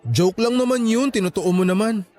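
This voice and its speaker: Man playing a high-voiced, earnest young graduate. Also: normal speed, a little mellow, faint music behind, natural voice. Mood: playful. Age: young adult. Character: encouraging, teasing young man, new college graduate. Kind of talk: Filipino radio drama acting.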